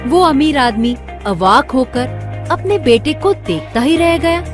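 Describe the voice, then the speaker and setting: A woman tells a children's story in a higher-pitched voice that moves with lively melody. She reads at a slow, measured pace, clearly as a scripted narration, with faint music behind her.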